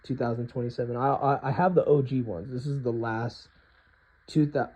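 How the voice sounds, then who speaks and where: A man with a hoarse, raspy voice talks through an informal, slangy collector's review. His tone is dark and relaxed, and he is frank with his opinions. The recording is dull and lo-fi. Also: medium pitch, moderate pace. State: hoarse, frequent throat clearing. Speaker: hoodie collector reviewing products. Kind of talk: casual unscripted compare-and-contrast review.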